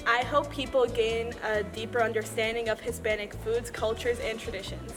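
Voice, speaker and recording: A woman's voice: a little high, level tone, normal speed, over music. A teenage girl giving short, composed interview answers. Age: teens. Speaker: interviewed student.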